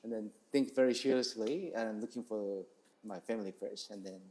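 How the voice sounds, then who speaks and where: Male chef as interview guest, Korean accent, lowish voice, halting and casual delivery. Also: warm tone. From South Korea. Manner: hesitant, halting, non-native English phrasing. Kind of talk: casual interview, telling their story.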